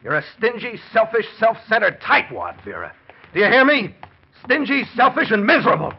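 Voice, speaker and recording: A man's voice: sits high, big pitch swings, normal speed. A man playing a desperate, scheming husband who tells of his crime in slangy, hard-boiled 1940s radio drama style. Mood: angry, bitter. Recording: muffled, noisy 1940s radio recording.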